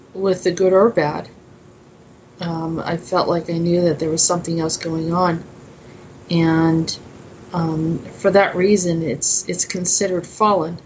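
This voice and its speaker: A woman giving an informal spiritual talk in a halting, meandering way. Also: lowish voice, slight background noise, rough recording. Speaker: host and author presenting her own poem.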